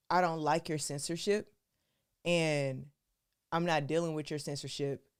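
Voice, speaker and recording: A woman's voice: low-pitched, a little mellow. A woman hosting a live stream, talking to her viewers in a casual, chatty way and calling them y'all. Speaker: show host.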